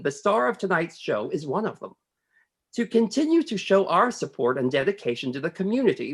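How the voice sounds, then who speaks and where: A woman's voice: sits low, husky. A woman reading a scripted fundraising announcement as a theater company's announcer.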